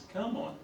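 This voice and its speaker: Man, raspy voice, professor, animated delivery, roomy echo. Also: high-pitched, distant mic. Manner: talks to himself while fumbling with the computer. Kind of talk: classroom lecture. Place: classroom.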